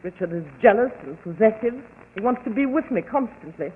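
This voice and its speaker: An actress playing an anxious wife confessing to a doctor, speaking in hesitant, halting bursts in 1940s radio style. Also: female voice, mid-range voice, animated, natural voice. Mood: troubled. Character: anxious young wife fearing her own urges. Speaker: patient. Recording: tinny old radio broadcast with hiss.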